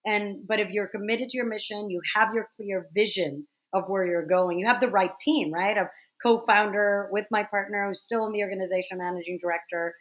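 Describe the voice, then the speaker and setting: Animated woman in her forties. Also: mid-range voice, low-quality recording. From Dominican Republic. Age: 40s. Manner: animated, quick storytelling with frequent restarts. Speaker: guest, theater director. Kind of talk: casual interview conversation.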